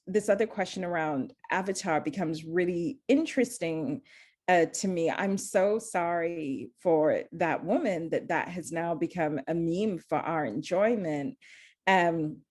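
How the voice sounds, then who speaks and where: A woman's voice: fairly low pitch, darkish tone. A woman from Zambia, speaking earnestly as a panelist and often restarting her sentences. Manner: earnest, searching, many restarts. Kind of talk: panel discussion.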